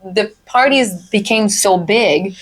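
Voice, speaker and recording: A woman's voice: mid-range voice, slow, husky. A middle-aged woman co-hosting a casual podcast, chatting and telling family stories. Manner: chatty storytelling, acts out quoted voices. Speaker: podcast co-host, mother. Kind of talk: casual chat.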